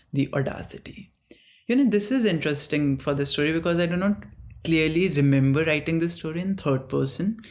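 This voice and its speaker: A storyteller reads their own dark fiction aloud at an unhurried, deliberate pace. The reading stumbles and restarts now and then. It breaks off into casual, self-mocking asides between passages. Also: medium pitch, gravelly. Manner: slow, halting reading broken by chatty asides.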